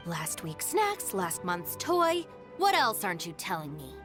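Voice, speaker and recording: A woman's voice: on the low side, wildly swooping pitch, unhurried, over music. A woman voicing a capable, exasperated young woman in a video game, blunt and no-nonsense. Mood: stern.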